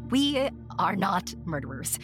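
A woman's voice: fairly low pitch, big pitch swings, unhurried. A woman playing a brash, candid young friend who narrates wryly and conversationally in a horror-comedy audio drama. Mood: wry, confiding. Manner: conversational, wry. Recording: prominent music underneath.

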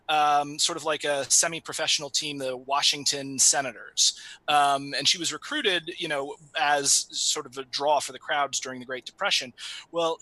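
A man with a naturally loud voice debates over a video call. His tone is bright and forceful, and he sits a little higher in pitch than most men. He argues with animated restarts. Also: poor audio. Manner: animated, fast arguing with frequent restarts. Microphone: video call microphone.

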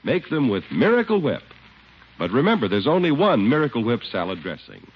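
A man's voice: mid-range voice, huge pitch swings, a little fast, breathy edge. A man announcing in 1950s radio style, reading scripted advertising and narration with emphatic salesman phrasing. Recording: muffled 1950s radio recording, faint noise.

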